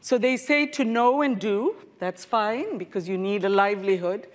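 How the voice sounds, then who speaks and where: A woman's voice: mid-range voice, moderate pace. A woman in her sixties, speaking with earnest conviction as a professor on a conference panel, with a lapel mic. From South Africa. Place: large conference hall stage. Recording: reverberant hall.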